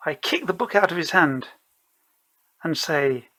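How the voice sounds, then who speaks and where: A man's voice: a little high, big pitch swings, unhurried, bright, forceful tone. A man narrating his own solo tabletop role-playing game in a casual, rambling way, self-deprecating and playfully theatrical.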